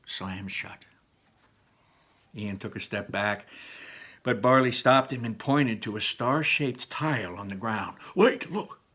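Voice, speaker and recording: A man's voice: mid-range voice, bright, forceful tone. A man reading aloud to children as a storyteller, dramatic and emphatic, with simple explanatory asides.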